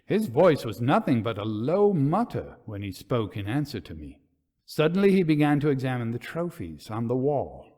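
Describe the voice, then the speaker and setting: A man reading a gothic ghost story aloud in a theatrical, deliberate and suspenseful manner. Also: medium pitch, sing-song, warm tone.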